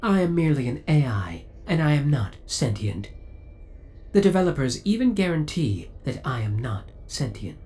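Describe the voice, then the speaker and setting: A man's voice: on the high side, big pitch swings, moderate pace, dark tone, soft background music. A man playing a devoted, possessive spaceship AI in an ASMR audio roleplay, speaking in a formal, courteous and precise way. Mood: politely defensive. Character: devoted, possessive male spaceship AI, politely obsessive.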